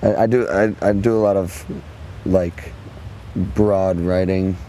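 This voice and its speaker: Young man, hoarse, deep, dark tone, relaxed rambling talk. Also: takes it slowly, slight background noise, rough recording. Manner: laid-back, laughs often, rambling. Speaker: musician guest. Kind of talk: casual interview chat. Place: outdoors at a festival.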